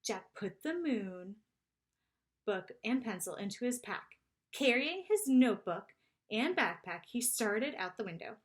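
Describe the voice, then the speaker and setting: Woman, children's read-aloud narrator, slow pace, lively intonation, fairly high voice. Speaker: storybook reader.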